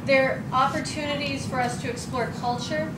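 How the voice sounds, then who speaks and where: A woman with a Midwestern American accent presents at a conference, her voice a little high and her delivery conversational and thoughtful. She is picked up from a distance in a roomy hall. A loud wash of background noise sits under her words. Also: fairly even intonation, normal speed. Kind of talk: conference talk and Q&A. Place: conference room.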